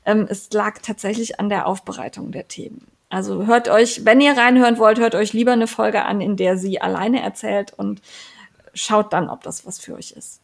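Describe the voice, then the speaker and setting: A woman's voice: mid-range voice, steady tone, normal speed, gravelly. A woman co-hosting a podcast, chatting casually with frequent fillers and restarts. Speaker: podcast co-host.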